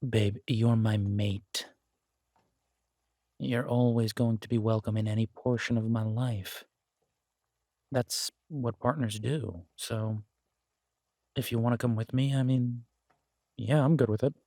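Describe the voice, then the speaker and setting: A man's voice: mid-range voice, fairly even intonation, slow, pauses often, dark tone, no room sound, studio quality. A man playing an easygoing, affectionate werewolf biker in an intimate audio drama, talking casually and hesitantly to a partner. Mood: sheepish, affectionate. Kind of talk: intimate roleplay audio drama, one-sided conversation.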